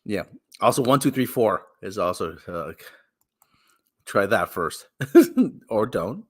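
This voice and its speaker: A man hosting a casual live-stream podcast, chatting loosely before a reading. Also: takes it slowly. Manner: lively, chatty, talks baby talk to his cat in a high voice. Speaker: podcast host.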